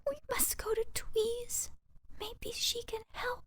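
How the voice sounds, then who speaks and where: A woman voicing an excitable little meadow mouse for a children's storybook, squeaky, childlike and pleading. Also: takes it slowly, dry recording, whispered, studio quality, exaggerated voice. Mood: frightened, shivering. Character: playful, then frightened, pleading little meadow mice.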